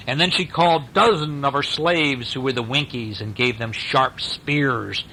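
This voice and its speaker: A man narrating a bedtime story in an animated, informal way, as if to a listener close by. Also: mid-range voice, a little hiss or hum, low-quality recording.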